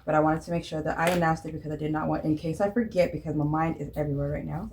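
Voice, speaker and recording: A woman's voice: fairly low pitch, background noise. A woman in her late thirties chatting casually to camera about her budget, rambling with many fillers and restarts. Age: 30s. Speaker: budgeting vlogger.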